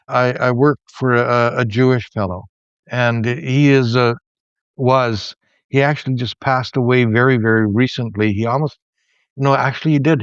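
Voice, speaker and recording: Smooth-voiced middle-aged man telling stories. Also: medium pitch, no room sound, muffled recording. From Canada. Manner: reflective storyteller, many restarts. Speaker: podcast co-host, former professor. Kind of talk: casual conversation, storytelling.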